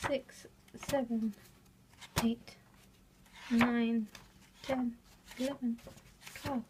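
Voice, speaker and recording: A woman's voice: fairly high pitch, slight background noise. A woman with an English accent, chatting casually as she shows her craft work, laughing often and joking about herself. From England. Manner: chatty, giggly, self-deprecating. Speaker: craft vlogger. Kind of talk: casual show-and-tell narration.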